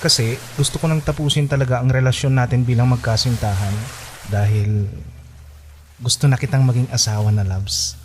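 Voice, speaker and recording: A man's voice: medium pitch, fairly even intonation, normal speed, a little hiss or hum, close to an everyday voice. A man playing a lovestruck young boyfriend in a Filipino radio romance drama, with sentimental, playful sweet-talk. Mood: earnest, teasing. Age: young adult. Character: lovestruck young boyfriend telling his story, teasing and tender.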